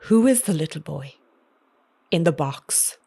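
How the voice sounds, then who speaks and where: A determined female investigator in an audio drama. Her voice is low, and she talks in a wry, pointed, probing way with a wounded edge. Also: lively intonation, unhurried, gravelly, mellow, slightly rough, heavily acted. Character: determined woman investigator, wry, wounded, confronting former partner.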